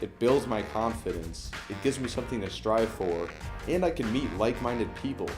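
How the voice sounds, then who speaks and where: A man's voice: fairly low pitch, expressive, moderate pace, music in the background, close to an everyday voice. A young man acting in a student skit as a friend who is wary of the gym, delivering his lines plainly and a little stiffly. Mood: reluctant.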